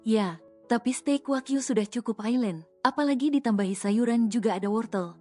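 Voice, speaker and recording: A woman's voice: on the high side, normal speed, close to an everyday voice. A woman voicing a domineering young male mafia boss who speaks to his wife in a coaxing, insistent way. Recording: soft music underneath.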